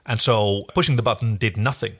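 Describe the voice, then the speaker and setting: A man's voice: fairly low pitch, steady tone, fairly quick, fairly bright tone. A man co-hosting a podcast, casually recapping an episode. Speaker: podcast co-host.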